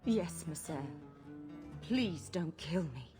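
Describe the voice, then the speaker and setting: Low-voiced seductive sorceress. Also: female voice, expressive, slow pace. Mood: frightened, pleading. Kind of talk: dramatic video game dialogue. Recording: music behind the voice.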